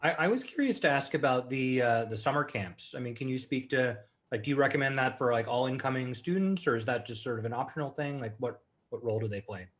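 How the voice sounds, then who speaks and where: A man's voice: mid-range voice, fast, fairly bright tone. A man asking a hesitant question in a webinar, using "like" often. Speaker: prospective parent asking a question. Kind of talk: casual question.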